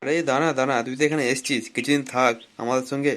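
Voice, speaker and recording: A man's voice: medium pitch, level tone, racing, fairly bright tone, close to an everyday voice. A man voicing a crude, bossy married elder-brother figure who grumbles and scolds in a comic cartoon. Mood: advising, jaded. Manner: scolding, foul-mouthed, complaining banter.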